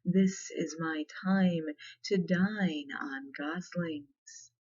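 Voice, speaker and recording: A sly, hungry old wolf from a storybook , played by a woman in soft ASMR style, coaxes with menace and draws each word out very slowly. The voice is slightly low and the sound a little muffled. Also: husky, full character voice.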